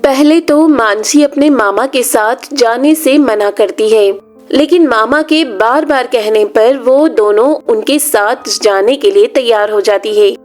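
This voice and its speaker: A woman storyteller reads a scripted children's tale. Her voice is high and she takes her time over each phrase. Music plays behind the narration. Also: unhurried, a little mellow. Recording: music under the voice.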